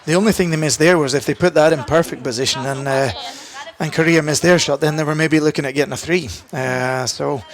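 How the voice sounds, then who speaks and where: Guy doing curling commentary, kinda high voice, stops and restarts a lot, arena crowd noise behind. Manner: halting, many fillers and restarts. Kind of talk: live sports commentary.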